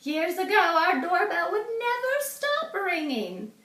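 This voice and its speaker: A sweet, lonely old lady from a storybook speaks in a high, welcoming voice, put on by a woman reading aloud. The sound is roomy and a little distant from the mic. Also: very animated, takes it slowly, sharp tone, full character voice. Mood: kindly.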